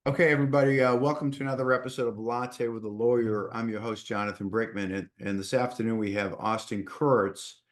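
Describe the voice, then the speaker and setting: A man's voice: medium pitch, fairly even intonation, brisk, few pauses. A middle-aged man with a Boston accent hosting a casual interview podcast, often repeating words as he starts his sentences. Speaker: podcast host.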